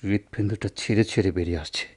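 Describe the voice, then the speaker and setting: A sick, tormented village doctor in a radio play. His voice is low and weary, with groaning breaths. He speaks in formal, poetic phrases with a brooding, declamatory weight. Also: quick pace, darkish tone, slightly rough. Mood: anguished. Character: ailing, anguished village doctor, gentle and brooding. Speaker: radio drama actor. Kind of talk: Bengali radio drama acting, poetic monologue.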